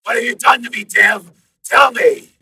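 Man voicing a gravelly trapped cop-computer. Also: sits high, normal speed, crowd noise, voice pushed up. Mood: accusing. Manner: insistent, interrogating questions. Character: male cop's mind trapped in a computer, panicked, accusing. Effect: synthesized voice.

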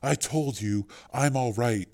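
A tough, stubborn woman from an action audiobook, as a man acts her out. She sounds weary and terse, speaking slangily at an unremarkable, level pitch for the narrator. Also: slow pace, darkish tone.

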